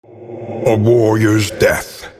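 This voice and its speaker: An actor playing a grave, brooding older scholar who narrates a gothic game in a solemn, portentous, theatrical way. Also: male voice, fairly low pitch, fairly even intonation, drawn-out words, darkish tone, slight background noise. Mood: mournful. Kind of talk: scripted dramatic game narration. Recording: long cavernous reverb on voice.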